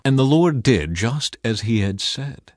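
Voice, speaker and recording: A man's voice: medium pitch, lively intonation, unhurried, no room sound, clean studio sound. A man reading scripture aloud as a scripted audiobook narration.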